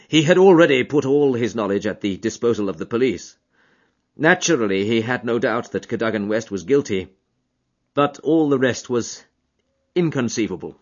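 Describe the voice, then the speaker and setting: A man reading an audiobook voices a grieving, shaken colonel who speaks haltingly and earnestly. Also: mid-range voice, voice pushed up, lightly acted. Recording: dull lo-fi audiobook recording.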